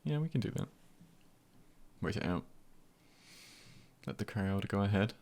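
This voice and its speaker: A man playing an easygoing, comforting male friend in an intimate ASMR roleplay, husky and gentle, with unhurried pauses and light teasing. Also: expressive. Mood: calm, soothing. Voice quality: husky, breathy, gravelly low voice.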